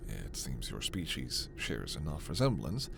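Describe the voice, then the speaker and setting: A man playing a gentle, scholarly, protective alien doctor in a sci-fi ASMR roleplay, speaking in a measured, formal, precise way. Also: extremely low pitch, wildly swooping pitch, creaky, noisy background, full character voice. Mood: explanatory, earnest.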